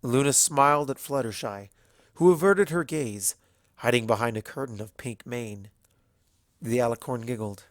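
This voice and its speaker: A man's voice: mid-range voice, moderate pace, no room sound, clean studio sound. A man reading scripted storybook narration as the narrator.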